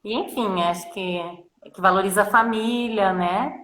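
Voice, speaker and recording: A woman who facilitates a mothers' circle, interviewing her guest gently and asking long, thoughtful questions on a livestream. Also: mid-range voice, normal speed. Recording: echoey livestream audio.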